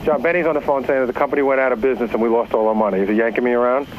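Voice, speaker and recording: A man's voice: mid-range voice, steady tone, rapid-fire, a crowd in the background. A man on a recorded phone call, insistent and confrontational.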